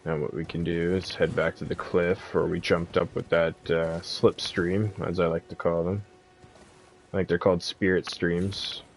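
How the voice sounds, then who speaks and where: A man giving a casual, relaxed video game walkthrough as the host of a guide, in informal language. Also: deep voice, normal speed. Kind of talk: casual gameplay walkthrough commentary.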